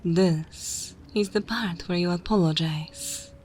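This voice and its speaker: Woman as a vampire mistress, low slow formal drawl, condescending and quietly menacing, ASMR roleplay, slight background noise. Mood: cool menace. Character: composed, teasing, menacing female vampire mistress.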